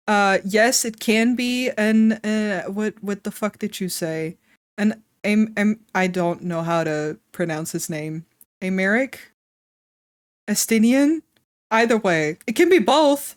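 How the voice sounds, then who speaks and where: Casual woman streamer, slightly congested and wheezy, slow and chatty, dry close sound. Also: medium pitch, dry recording. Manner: chatty, swears casually, laughs often. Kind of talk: casual live-stream chat.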